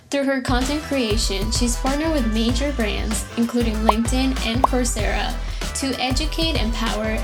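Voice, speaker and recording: A woman hosting a podcast, reading a scripted introduction and then chatting softly and casually with her guest. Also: a little high. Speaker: podcast host. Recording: music bed under the voice.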